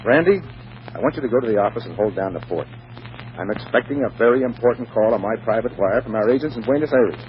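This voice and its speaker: An actor playing a smooth-talking, slightly bashful businessman in a 1940s radio drama, polite and deliberate. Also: mid-range voice, expressive, natural voice. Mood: grave, urgent. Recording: muffled, hissy 1940s radio recording.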